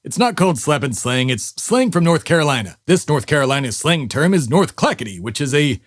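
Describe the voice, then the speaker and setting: A man playing an immortal figure who embodies America, calmly telling outrageous stories in a matter-of-fact, deadpan way with dramatic pauses in a comedy audio drama. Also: fairly high pitch, dry recording, clean studio sound. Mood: exasperated. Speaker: co-host character.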